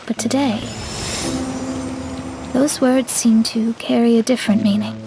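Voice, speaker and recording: A woman playing a proud, earnest young female astrologist in a video game, speaking in a measured, articulate, reflective way. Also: on the high side, steady tone. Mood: solemn, resolute. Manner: measured, articulate, solemn dramatic delivery. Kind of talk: video game cutscene voice acting.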